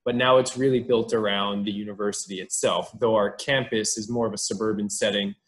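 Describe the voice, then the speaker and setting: A man's voice: lowish voice, fairly even intonation, fairly quick, fairly bright tone. Friendly man explaining on a video call. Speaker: college admissions officer. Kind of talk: presenting on a video call panel.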